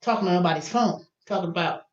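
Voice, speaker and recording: A woman's voice: on the low side, fast. American woman in her fifties, dull lo-fi sound. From United States. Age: early 50s. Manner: thinks aloud, repeats and corrects herself. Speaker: livestream host. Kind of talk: casual rambling livestream chat.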